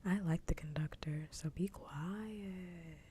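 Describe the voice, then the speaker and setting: A woman chatting casually in a soft, breathy whisper, close to the microphone in ASMR style. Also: slow. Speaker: livestreamer, ASMR host. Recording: faint low rumble noise.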